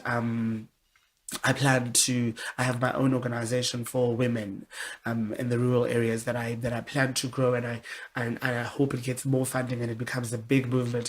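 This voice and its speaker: Woman with a deep voice. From South Africa. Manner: candid, expressive, with many restarts. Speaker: interview guest. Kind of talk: casual interview conversation.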